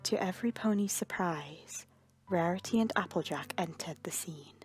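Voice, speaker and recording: A woman narrator reads a scripted story. Her voice sits a little low, and music plays clearly behind her. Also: normal speed.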